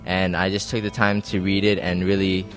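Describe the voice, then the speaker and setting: A man's voice: low-pitched, little pitch movement, moderate pace, soft background music. A teenage boy from Hawaii, speaking reflectively and earnestly about his experience. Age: teens. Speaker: camper.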